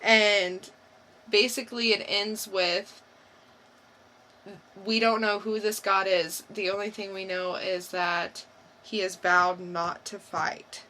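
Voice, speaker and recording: A woman co-hosting a podcast, giving a casual unscripted review in a halting, unsure way, thinking aloud. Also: mid-range voice, flat delivery, sharp tone, slight background noise, low-quality recording. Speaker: podcast co-host reviewing comics.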